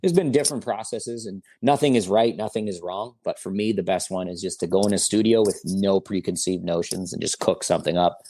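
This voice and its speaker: A man's voice: low-pitched, fairly quick, hardly any pauses, warm tone, no echo. A man in his forties, a rapper guest in a casual interview, talking in a laid-back rambling way full of fillers and slang. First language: German or Italian. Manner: laid-back, rambling with fillers, says "bro" and "you know what I mean".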